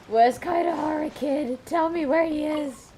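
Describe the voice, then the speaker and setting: A young woman giving excitable, mock-dramatic, gushing gameplay commentary. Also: high voice, slow. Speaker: game streamer. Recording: noisy mic with game sound. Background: game audio.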